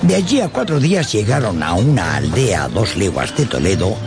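An actor playing a dramatic radio narrator of an old tale, given to confiding asides to the listener. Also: male voice, on the low side, sing-song, moderate pace. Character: animated male storyteller of an old tale. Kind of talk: theatrical radio storytelling narration. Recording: music behind the voice. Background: music.

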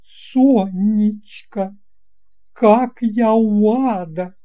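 A woman voicing an effusive, brisk elderly lady in a storybook tale, speaking in emphatic exclamations. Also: medium pitch, dark tone, voice pushed up.

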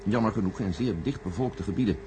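A man playing a measured, secretive senior official in a science-fiction radio play, formal, deliberate and condescending. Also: on the low side, lightly acted. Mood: grave, defensive. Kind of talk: radio drama acting. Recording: noisy old radio recording with hum.

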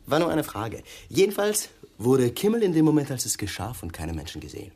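A pushy male police detective in a radio crime drama. His voice is husky and swings widely up and down as he probes with mock politeness and sly insinuation. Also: mid-range voice, huge pitch swings, brisk, darkish tone, heavily acted. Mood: insinuating.

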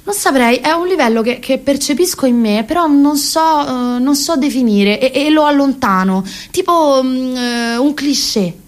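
Actress as an impassioned, high-voiced rambling thirtyish woman. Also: moderate pace, few pauses, lightly acted. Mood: flustered, hesitant. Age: 30s. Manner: hesitant, self-correcting, mock-solemn and emphatic. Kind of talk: comic theatrical monologue for radio theatre.

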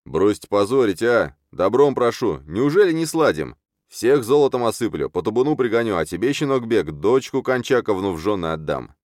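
A man's voice: on the low side, sing-song, normal speed, darkish tone, no room sound, clean studio sound, voice pushed up. A man voicing a hot-tempered nomad khan of the steppe, roaring and blustering, in a comic historical audiobook. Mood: flustered pleading.

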